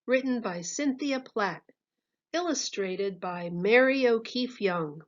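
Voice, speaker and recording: Teacher reading a picture book to kids: a woman, expressive and unhurried, slightly dull recording. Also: fairly high pitch. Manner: expressive, lively read-aloud.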